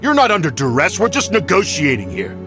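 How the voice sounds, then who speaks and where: Blustering old schemer, swooping pitch. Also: male voice, huge pitch swings, fairly quick, music in the background. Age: older. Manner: blustering, indignant, fawning to superiors. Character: scheming, self-serving aging clan lord, obsequious, blustering. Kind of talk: dramatic video game voice acting.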